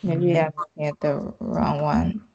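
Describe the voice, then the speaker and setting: A woman's voice: low-pitched, sing-song, a little slow, darkish tone, muffled recording. A woman chatting casually as a participant on a podcast, with faint noise and clicks on the recording. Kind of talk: casual chat.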